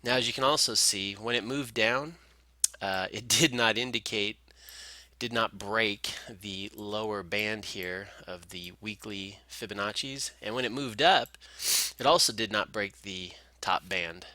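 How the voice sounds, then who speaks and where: A man's voice: on the low side, a little slow, bright, forceful tone, no room sound. A man giving informal, explanatory screen-share commentary as a market analyst, talking through charts step by step.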